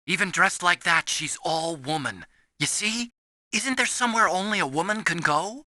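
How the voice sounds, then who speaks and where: Earnest, bright-voiced nerdy scientist. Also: male voice, high-pitched, lively intonation, moderate pace, no echo, clean studio sound, heavily acted. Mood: anxious, prodding. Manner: earnest, anxious, explanatory. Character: earnest, timid young male scientist, soft-hearted and nerdy. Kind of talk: video game voice acting.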